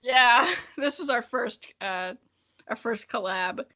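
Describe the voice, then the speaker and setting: Bright-voiced woman reading and riffing. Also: a little high, takes it slowly, no room sound. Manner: casual, amused, self-mocking, swears freely. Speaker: podcast co-host reading her old childhood writing. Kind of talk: dramatic reading with joking commentary.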